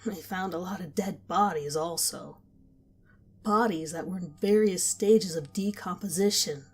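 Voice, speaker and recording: A woman narrating a scripted horror story in a slow, measured, intimate way. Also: lowish voice, breathy edge.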